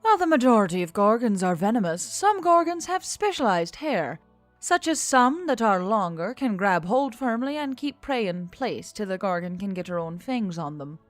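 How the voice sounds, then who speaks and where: Lady doing a calm gorgon lady pilot, formal and careful wording, audiobook with faint music. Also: medium pitch, dry recording, close to an everyday voice. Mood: informative. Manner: measured, quiet, formal phrasing without contractions. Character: calm, soft-spoken female gorgon pilot with snake hair.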